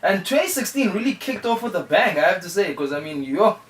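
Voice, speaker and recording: A man with a South African accent hosting a casual interview show, informal and excitable, often saying "man" and "like". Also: high voice, fairly quick, recorded from a distance. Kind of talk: casual radio interview chat. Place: studio. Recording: echoey room, off-mic, background noise.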